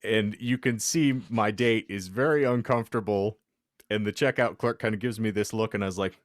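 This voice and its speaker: Low-voiced, theatrical male podcast host. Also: moderate pace, no room sound, clean studio sound. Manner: theatrical, florid, wordy phrasing. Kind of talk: comic podcast banter.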